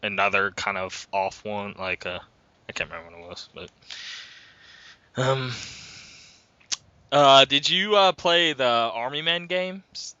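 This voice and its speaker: A man's voice: mid-range voice, a little slow, long pauses, pushed and bright, clean tone, dry recording. A man in his twenties chatting loosely as a podcast host, rambling and full of fillers. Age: 20s. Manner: loose, rambling, says "like" a lot. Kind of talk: casual chat. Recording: dull lo-fi podcast mic.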